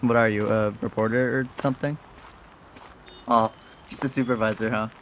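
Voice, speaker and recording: A man's voice: medium pitch, steady tone, moderate pace, a little hiss or hum, natural voice. A man playing an easygoing, cheeky young rogue in an audio drama, talking in casual, wry slang. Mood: curious, friendly. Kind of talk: audio roleplay drama acting.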